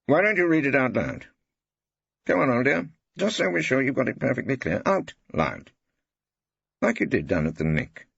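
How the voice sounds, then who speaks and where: A man's voice: mid-range voice, big pitch swings, normal speed, creaky, a bit bright, husky, no room sound, voice pushed up, heavily acted. Shrewd old English barrister, played by a male reader: combative, pompous, crackly voice, dry and slightly dull audiobook sound. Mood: coaxing, probing. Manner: rhetorical, insistent, pompous flourishes.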